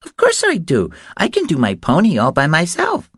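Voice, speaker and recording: A small girl in an audiobook thriller, played by a man with his voice lifted high. She is solemn and sure of herself, giving instructions in a bossy, matter-of-fact way. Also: huge pitch swings, moderate pace, fairly bright tone, slightly rough, dry recording, studio quality, exaggerated voice. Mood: solemn, instructive. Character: young confident little girl instructing about horses.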